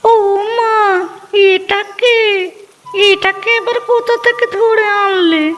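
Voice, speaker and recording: A woman's voice: very high-pitched, fairly even intonation, slow pace, fairly bright tone, a little hiss or hum, heavily acted. A woman voicing a sarcastic, grumbling village wife in a cartoon story, nagging, in dull sound with music. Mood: sarcastic, annoyed.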